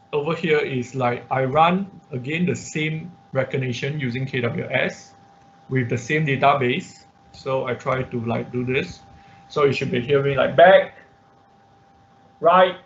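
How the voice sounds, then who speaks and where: A man instructing an online class through a live software demonstration, talking haltingly as he thinks aloud. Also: medium pitch. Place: indoors, online class at a computer. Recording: muffled online class audio with background noise.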